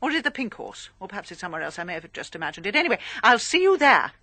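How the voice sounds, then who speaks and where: Quick, bright, scatty English novelist. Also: female voice, medium pitch, big pitch swings, no echo, exaggerated voice. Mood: breezy, scatty. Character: scatty, breezy female novelist. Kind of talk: radio drama acting.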